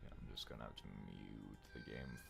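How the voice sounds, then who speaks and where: A man's voice: very deep voice, steady tone, brisk, gravelly, music in the background. A man chatting casually on a solo livestream, muttering to himself as he goes. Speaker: streamer practicing voice acting.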